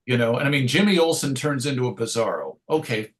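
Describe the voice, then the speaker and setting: A man's voice: mid-range voice, animated, fast. A middle-aged man reminiscing casually as an interview guest, hesitant and rambling, often interrupting himself to restart a thought. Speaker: interview guest, writer and radio show host.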